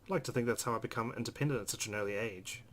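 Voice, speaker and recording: A man's voice: medium pitch, racing. A man hosting a let's play, reading visual novel narration aloud with brief asides. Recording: clear background noise.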